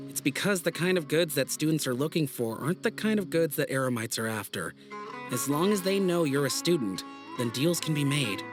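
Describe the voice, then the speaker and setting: Guy playing confident young student in a game, slightly high voice, explaining, plucked music behind. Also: lightly acted. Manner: explanatory, assured. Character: confident young male student, reassuring schemer. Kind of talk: video game cutscene acting.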